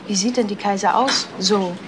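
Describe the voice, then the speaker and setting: An actress playing a gossipy, catty office worker in a German crime radio play, chatting away. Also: female voice, medium pitch, moderate pace, background noise, close to an everyday voice.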